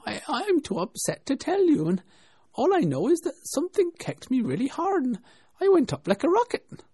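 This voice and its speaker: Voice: high voice, huge pitch swings, moderate pace, darkish tone, dry recording, clean studio sound, voice pushed up. A narrator voicing a meek, deferential male animal servant who pleads reluctantly in a storybook reading. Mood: dazed, upset.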